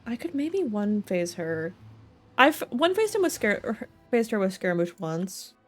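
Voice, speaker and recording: A woman's voice: mid-range voice, very animated, unhurried. Playful rambling woman streamer over game music. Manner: playful, rambling, thinking aloud, silly slang. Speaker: livestreamer. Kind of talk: casual gaming stream chat.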